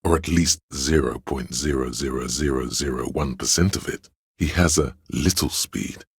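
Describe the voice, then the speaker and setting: Game role: scheming courtly adviser, extremely deep husky bass, grandiloquent and obsequious, close dry sound. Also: male voice, normal speed, dry recording, exaggerated voice. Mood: suspicious, advising. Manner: theatrical, grandiloquent, addressing a liege. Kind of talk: scripted game voice lines, archaic courtly speech.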